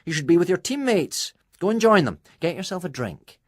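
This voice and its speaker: A man voicing a controlling, falsely cheerful mother who briskly scolds and smooths things over in a storybook reading. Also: high-pitched, sing-song, dry recording, studio quality, voice pushed up.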